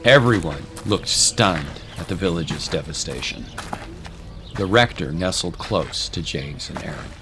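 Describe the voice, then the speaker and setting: Deep-voiced male narrator over music. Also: lively intonation, unhurried. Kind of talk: scripted dramatic story narration. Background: clear music.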